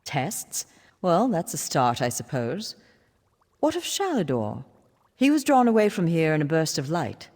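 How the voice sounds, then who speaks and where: An actress playing an earnest, scholarly mage with a formal, dryly wry manner in a fantasy video game. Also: female voice, low-pitched, big pitch swings, a little slow, a little mellow, heavily acted. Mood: concerned. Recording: long hall reverb.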